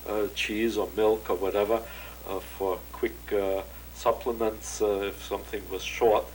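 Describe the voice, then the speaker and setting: An older man giving a measured, recalled testimony as an interviewee, with frequent pauses and filler sounds. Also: lowish voice, slight background noise, poor audio.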